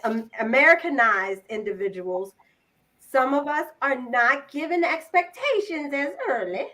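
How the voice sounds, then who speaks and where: A woman hosts a casual podcast interview, chatty and affectionate. Her voice sits high, and she often restarts her sentences as she works toward a question. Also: lively intonation, measured pace, sharp tone. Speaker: podcast host.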